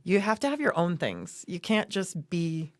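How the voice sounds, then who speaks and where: Middle-aged woman, low pitch, emphatic, casual podcast talk, dry sound. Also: huge pitch swings, moderate pace, dry recording. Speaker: podcast co-host.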